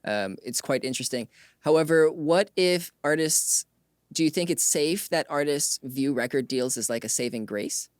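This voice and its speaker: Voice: mid-range voice, lively intonation, moderate pace, no echo, studio quality. A podcast host speaking conversationally, affirming the guest and introducing segments. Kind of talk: conversational interview hosting.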